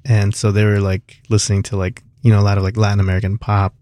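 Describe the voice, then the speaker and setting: A young adult man from South Florida, a musician guest chatting casually in a radio interview, relaxed and rambling with many fillers. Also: fairly low pitch, quick pace, no echo, studio quality.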